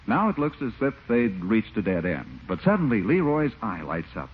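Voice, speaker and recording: American male announcer for vintage radio: animated scripted narration, muffled sound, faint music. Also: fairly low pitch, normal speed, a little hiss or hum.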